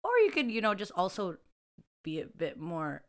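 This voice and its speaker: Young woman co-host, casual, smooth voice, lots of laughs. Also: fairly low pitch, animated, normal speed. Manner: chatty, laughs often, lots of "like". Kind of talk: casual chat.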